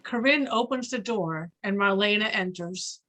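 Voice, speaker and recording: A woman's voice: medium pitch, moderate pace, pushed and bright. A woman giving scripted narration, reading out stage directions for a play.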